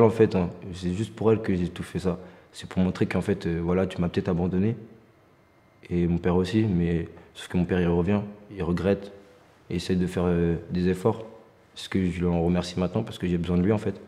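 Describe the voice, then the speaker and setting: A young man speaks earnestly about his past in a documentary interview. His voice is very low and mellow. A long reverb hangs on every word. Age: young adult. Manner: earnest, leans on filler phrases. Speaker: trainee interviewee. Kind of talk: documentary interview, personal testimony. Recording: reverberant room.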